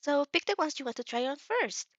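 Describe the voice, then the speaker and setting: A woman voicing a friendly, polite female shop assistant in a story, helpful and slightly halting. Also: high-pitched, lively intonation, fairly bright tone, dry recording, voice pushed up. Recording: dry, slightly dull lo-fi recording.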